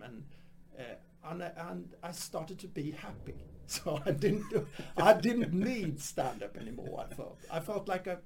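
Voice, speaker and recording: A man's voice: on the high side, gravelly, slight background noise. A man, a comedy director and former stand-up, talking in a rambling, casual way with many restarts. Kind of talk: casual podcast conversation.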